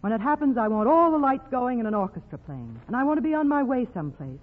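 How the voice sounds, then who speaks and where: A woman's voice: a little high, very animated, fast, in a large hall. An actress playing a brave, romantic young woman with a secret doom, in 1940s radio drama style. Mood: brave, urgent. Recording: old phone-like radio recording with music.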